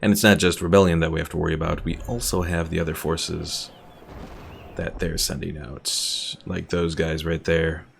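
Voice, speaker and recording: A man's voice: rumbling low, little pitch movement, moderate pace, vocal fry, a little hiss or hum. A man giving casual live commentary as a game streamer, chatty and thinking aloud. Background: game music.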